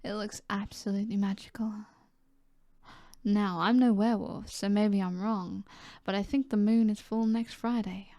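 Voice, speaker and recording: Woman playing a sultry, mellow British mob boss. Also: medium pitch, steady tone, no echo, studio quality, lightly acted. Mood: flirtatious, playful. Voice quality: soft, breathy, often dropping to a whisper. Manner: flirtatious, teasing, unhurried. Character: seductive, self-assured female mob boss toying with a detective. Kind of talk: ASMR roleplay acting.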